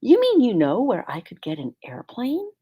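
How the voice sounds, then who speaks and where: A woman's voice: a little high, huge pitch swings, normal speed, muffled recording, a little hiss or hum, pitched above the performer's own voice. Woman reading as an earnest little boy. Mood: dreamy, eager. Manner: earnest, polite. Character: kind eager little boy who dreams of flying.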